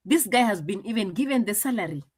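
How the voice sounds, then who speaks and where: A woman's voice: mid-range voice, expressive, quick pace, darkish tone, husky. A woman commenting casually on a clip, translating and mocking with frequent laughs.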